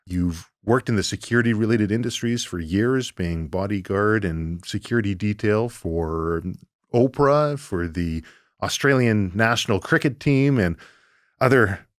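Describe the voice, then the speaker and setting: A man's voice: fairly low pitch, expressive, unhurried, no echo, studio quality. A man speaking as a podcast host, introducing the show and interviewing.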